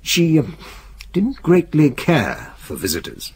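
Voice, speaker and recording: A hearty, chuckling old solicitor. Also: male voice, a little high, huge pitch swings, slow, a little mellow, slightly rough, exaggerated voice. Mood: storytelling. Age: older. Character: old jovial solicitor, Mr Bentley. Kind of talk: radio drama acting.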